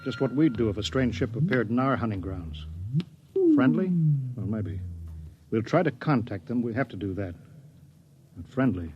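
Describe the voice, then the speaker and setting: An American actor playing a wary, commanding spaceship captain in a 1950s science fiction radio drama, giving clipped, authoritative orders. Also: male voice, fairly low pitch, sing-song, normal speed, pauses often. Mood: wary, firm. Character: stern, wary male spaceship captain, commanding and duty-bound. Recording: muffled vintage radio recording, faint music.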